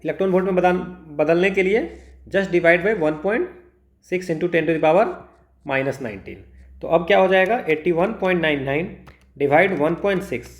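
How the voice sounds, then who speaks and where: Male Indian tutor for exam walkthroughs: slow delivery, switches between Hindi and English, poses and answers questions, roomy echo. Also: sits high. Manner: mixes Hindi and English, asks himself questions then answers. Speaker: physics tutor. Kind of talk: step-by-step exam problem walkthrough. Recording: echoey room.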